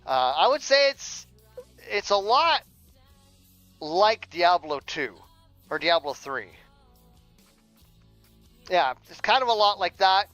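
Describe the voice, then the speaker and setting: High-pitched, rambling man on a livestream. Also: very animated, slow pace, long pauses, fairly bright tone, no room sound. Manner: rambling, repeats words mid-sentence. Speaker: streamer and audio drama writer. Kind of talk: casual livestream chat. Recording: dull lo-fi sound, music behind. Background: music playing.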